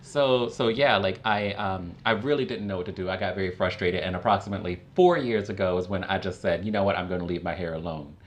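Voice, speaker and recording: A man's voice: low voice, quick pace, few pauses. A man talking as a guest in a casual chat, rambling with lots of "like" and restarts. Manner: rambling, many fillers and restarts. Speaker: guest client.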